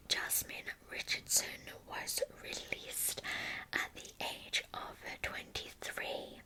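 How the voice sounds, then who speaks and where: A young adult woman whispers in a breathy, soft-spoken, informal way as a true crime ASMR storyteller, close to the microphone. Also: low-quality recording.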